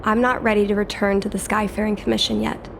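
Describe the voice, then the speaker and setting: A woman's voice: mid-range voice, flat delivery, quick pace, close to an everyday voice. A woman acting as a polite, earnest young woman in a video game. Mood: grateful, hesitant. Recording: clear background noise and ambience.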